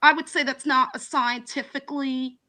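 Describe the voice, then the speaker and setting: A woman caller arguing loudly and insistently in a live chat, often restarting and repeating her words. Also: sits high, steady tone, normal speed. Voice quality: loud projecting voice. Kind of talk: heated debate on a live chat.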